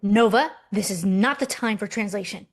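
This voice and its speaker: An actress playing a pragmatic, easily exasperated millennial American twin in a comedic table read. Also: female voice, mid-range voice, normal speed, lightly acted.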